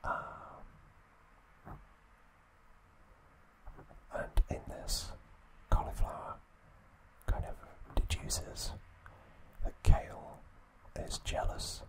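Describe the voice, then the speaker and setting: A man narrating trivia as an ASMR host in a breathy, unvoiced whisper, soft and deliberate. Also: poor audio.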